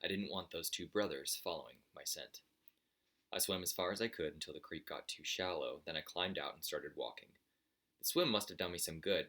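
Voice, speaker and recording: A man's voice: rumbling low, bright, forceful tone. A man narrating a children's story aloud in the first person, as the storyteller.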